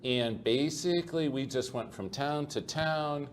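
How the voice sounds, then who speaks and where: A man's voice: medium pitch, measured pace. Chatty man hosting a podcast. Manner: chatty, repeats words, adds tag questions like "okay?". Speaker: podcast host. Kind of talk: conversational interview. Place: conference venue. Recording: faint background noise at a conference.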